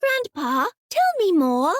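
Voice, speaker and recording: A woman's voice: extremely high pitch, wildly swooping pitch, slow pace, no echo, studio quality, pitched above the performer's own voice, heavily acted. A woman voicing a plucky, anxious little girl in a children's story, earnest and exclamatory. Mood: eager.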